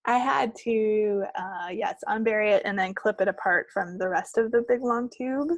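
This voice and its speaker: Female podcast co-host, casual and rambling, with plenty of vocal fry and a dry, close sound. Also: medium pitch, moderate pace, no echo. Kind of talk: casual chat about projects. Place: recorded at own end of a remote video call.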